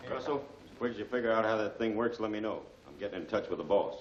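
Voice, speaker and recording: An actor playing a brisk, obedient male gangster henchman in a 1940s film serial. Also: lowish voice, natural voice. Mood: businesslike. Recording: distant, echoey, muffled 1940s film soundtrack.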